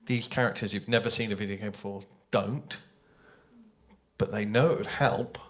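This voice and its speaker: A man speaking off the cuff as a writer on a live panel, thoughtful and halting, talking into a microphone. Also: on the low side, fairly even intonation. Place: live panel at a games festival. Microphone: handheld or shared panel microphone.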